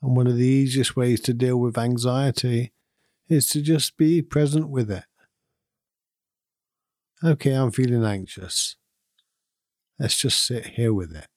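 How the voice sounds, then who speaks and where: A man leading a guided meditation in a calm, soothing, unhurried manner with long pauses between phrases. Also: medium pitch, no room sound, clean studio sound. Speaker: meditation guide and podcast host. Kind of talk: guided meditation, gentle instruction.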